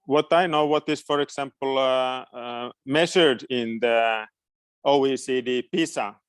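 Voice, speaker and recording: Slow, clear middle-aged man from Finland. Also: medium pitch, dry recording. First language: Finnish. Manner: hesitant, searching for words. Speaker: guest education expert. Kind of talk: informal question-and-answer talk.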